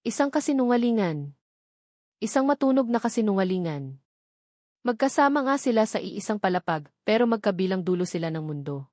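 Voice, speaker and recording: A woman's voice: mid-range voice, big pitch swings, fairly quick, a little mellow, no echo, studio quality. A woman reading scripted narration of a dramatic love story.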